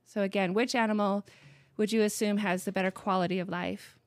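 A woman in her late forties from Montana, hosting a radio talk show in an unhurried, friendly way, with many ums. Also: medium pitch, fairly even intonation, dry recording, clean studio sound. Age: 40s. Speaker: host.

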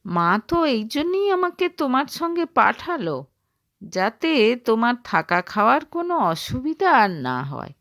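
A woman voicing a devoted, eager young village wife in an audio story, caring and gently insistent. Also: a little high, sing-song, a little slow, no room sound, clean studio sound, exaggerated voice. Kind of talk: dramatised audio story dialogue.

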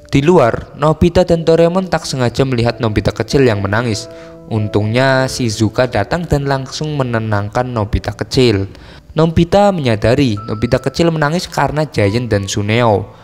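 A man's voice: medium pitch, moderate pace, a little mellow. An Indonesian man narrating a story recap in a casual scripted style, with colloquial, teasing asides. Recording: long reverb, music behind. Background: music.

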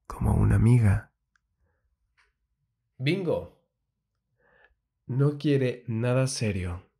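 A man giving a soft, intimate ASMR monologue in a breathy voice that often drops to a whisper, soothing and confiding, close to the mic. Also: lowish voice. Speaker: ASMR host giving love advice. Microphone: very close ASMR microphone.

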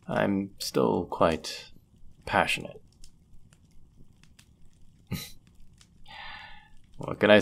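Fantasy audio drama: man to play amorous, courteous innkeeper and proud charmer, lowish creaky voice, formal and suave. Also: exaggerated voice. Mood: playful, proud. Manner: formal, ornate, suave, teasing phrasing. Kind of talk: scripted roleplay audio drama, addressing the listener.

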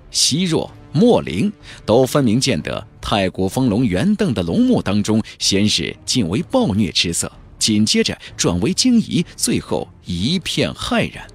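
Expressive male storyteller, sweeping pitch over music. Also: slightly rough. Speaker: audiobook narrator. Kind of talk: dramatic scripted narration of a fantasy novel. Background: background music.

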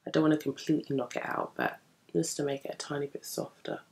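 Young female beauty vlogger, deep gravelly morning voice, chatty upbeat tutorial talk, camera mic indoors. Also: huge pitch swings, quick pace, a little mellow. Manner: chatty, upbeat, explaining while applying makeup. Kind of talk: casual makeup tutorial chat. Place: indoor room in front of a bookshelf. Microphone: camera at desk distance.

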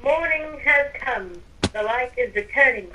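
A woman's voice: high voice, expressive, a little slow, noisy background. A woman improvising in-character roleplay during a video game, with theatrical, stiffly formal phrasing. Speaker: Minecraft roleplay player.

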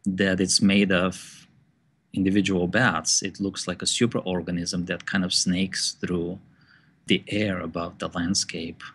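Brief: scientist, very low husky voice, measured interview answers over soft music. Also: male voice, little pitch movement. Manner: thoughtful, measured, pauses with fillers. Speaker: bat biologist.

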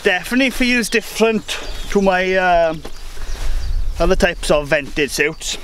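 Young guy chatting through a beekeeping suit review outside, upbeat, bit high-ish voice, birds and faint field noise behind. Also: animated, measured pace, fairly bright tone. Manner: chatty, upbeat, thinking aloud. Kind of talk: casual product review vlog. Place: outdoors in a rural field by a truck. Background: birdsong and faint outdoor ambience.

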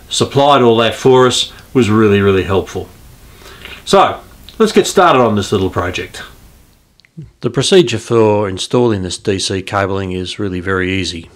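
Low-voiced middle-aged man explaining. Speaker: host of an amateur radio hobby video. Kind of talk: informal explanatory tutorial. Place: small indoor radio room at home.

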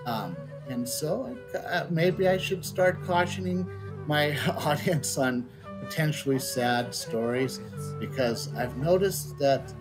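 A middle-aged man livestreaming a game, chatting casually in a rambling, halting way and reading out on-screen text. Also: fairly high pitch, poor audio. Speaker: game streamer. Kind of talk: casual livestream chat and read-out. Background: game music.

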